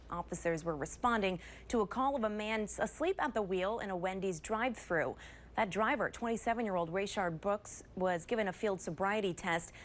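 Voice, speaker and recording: A woman with an American accent anchors a newscast. Her voice sits at a middle pitch as she reads scripted stories in broadcast style, with some noise in the recording. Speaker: news anchor. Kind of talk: scripted news broadcast narration.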